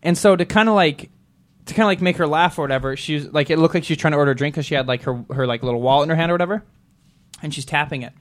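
A man's voice: a little high, racing. A man hosting a casual talk show, telling rambling stories and laughing through them. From Montana. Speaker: show host. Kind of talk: casual storytelling chat.

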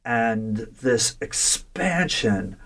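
A man's voice: medium pitch, very animated, drawn-out words, creaky, fairly bright tone. A man discussing a story in a thoughtful, lecture-like conversation, often restarting phrases and checking in with "right?". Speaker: literature scholar discussing a story.

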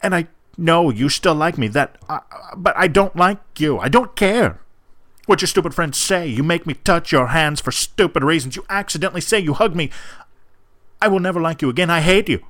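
A man's voice: a little high, animated, moderate pace, fairly bright tone, no echo, voice pushed up. A man playing a furious, scornful jilted young person in an emphatic, declamatory dramatic reading. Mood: furious, defiant.

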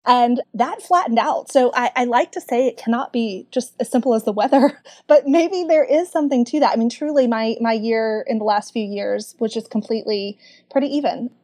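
Southern US woman, podcast guest, chatty and laughing, slightly high voice. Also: moderate pace, dark tone. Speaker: podcast guest, book club community manager. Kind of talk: casual chat.

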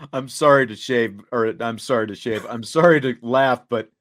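A man with a mid-range voice hosts a sports talk show. He reads and comments deliberately, setting out each point step by step. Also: medium pitch, a bit bright. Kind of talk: sports talk, reading quotes aloud and commenting.

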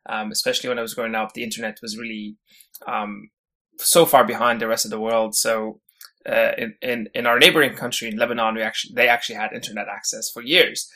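A man's voice: lowish voice, level tone, fast talker, sharp tone. A man from Syria, a guest on a podcast, telling stories casually with lots of fillers and restarts. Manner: chatty, many fillers and restarts. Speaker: podcast guest, head of engineering. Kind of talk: casual interview storytelling.

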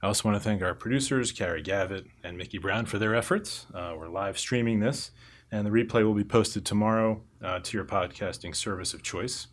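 A man's voice: on the low side, a little fast. A man hosting a podcast in a studio, reading announcements and introducing a guest in a conversational way with frequent filler words. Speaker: podcast host, editor.

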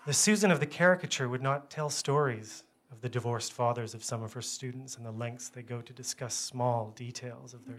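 A man reads a first-person literary story aloud, with measured phrasing and a wry, self-deprecating manner. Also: mid-range voice, a bit bright.

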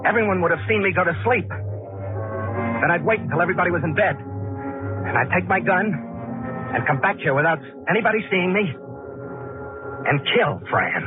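A man's voice: sits high, sing-song, moderate pace, pauses often. An actor playing an anxious, scheming man plotting murder, confiding colloquially in 1940s radio suspense style. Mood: brooding, confiding. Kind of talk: 1940s radio suspense acting, first-person narration. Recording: muffled 1940s radio, music underneath.

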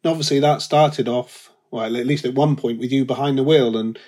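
A man's voice: mid-range voice, steady tone, fairly quick, a little mellow. A man hosting a podcast, asking the guest questions in an inquisitive, prompting way. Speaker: podcast host. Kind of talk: interview questions.

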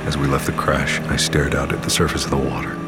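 A man's voice: very deep voice, level tone, fast talker, loud music behind the voice. An actor playing a weary male crash survivor, narrating his story in a first-person audio drama. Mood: somber, reflective. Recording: loud boat motor and water behind. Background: boat engine and sea ambience.

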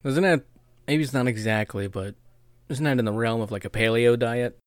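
A man's voice: medium pitch, normal speed, dry recording, studio quality. A man co-hosting a podcast in a casual chat, cracking wry jokes. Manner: joking, wry asides. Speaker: podcast co-host.

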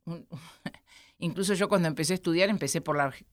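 Argentine woman historian, low voice, thoughtful, pauses often; dry studio sound. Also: no room sound. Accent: Argentine Spanish. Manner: reflective, explains at length with many pauses. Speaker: historian guest. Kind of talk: studio interview.

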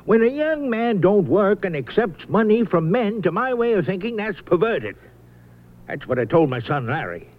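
A man's voice: sits high, big pitch swings, normal speed. A man playing a middle-aged, opinionated father with blunt, folksy, ungrammatical speech in a 1970s radio drama. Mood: indignant, blunt. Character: disapproving, opinionated father of a murdered son. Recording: narrow, muffled vintage radio sound.

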